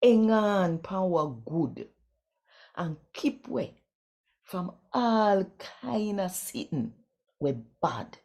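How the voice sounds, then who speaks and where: A woman with a Jamaican accent hosting and reading scripture aloud in Jamaican Creole, deliberately and expressively. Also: mid-range voice, lively intonation, vocal fry, darkish tone. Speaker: host and scripture reader.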